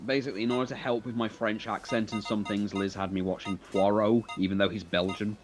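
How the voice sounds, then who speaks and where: A man's voice: on the low side, flat delivery, brisk. A man talking casually as a let's-player, with music playing behind him while he chats over gameplay. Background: game music.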